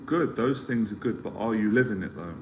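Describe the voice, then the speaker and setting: A middle-aged man with a very low voice talks earnestly on a motivational live stream, looping back and repeating phrases as he goes. Steady ambient noise sits clearly behind him. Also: brisk. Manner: earnest, rambling, repeats himself while searching for words. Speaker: life mentor.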